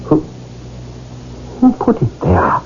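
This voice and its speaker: An actor playing an indignant, hard-up gentleman accused of theft in a 1970s radio drama, formal and theatrical. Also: slow, close to an everyday voice. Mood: outraged protest. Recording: muffled, noisy old radio recording.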